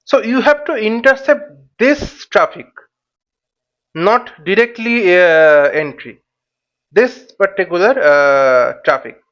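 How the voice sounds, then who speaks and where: A high-voiced man explains things slowly, like an instructor at a whiteboard. He works step by step, putting questions and then answering them. The recording is slightly dull and lo-fi. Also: expressive, fairly bright tone. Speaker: flight simulation instructor. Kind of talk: informal whiteboard tutorial.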